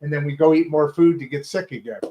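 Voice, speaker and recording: A talk show host with a slightly raised pitch, talking in a loose, rambling way. He restarts his sentences often and keeps circling back before he gets to his point. It sounds like a casual home-studio setup. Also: quick pace, dark tone. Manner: chatty, rambling with many restarts and fillers. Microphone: video call setup from a home studio.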